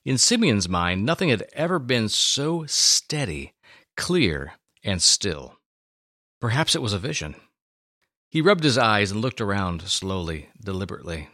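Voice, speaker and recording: Animated male storyteller, bright voice. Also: fairly low pitch, no room sound, clean studio sound. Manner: deliberate, storytelling with dramatic pauses. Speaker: narrator. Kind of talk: dramatic scripted narration.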